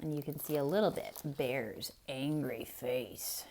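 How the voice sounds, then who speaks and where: A woman reading a picture book aloud to children in an expressive style, pausing to ask the listener questions. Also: very deep voice. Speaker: children's storyteller. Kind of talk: theatrical read-aloud for kids.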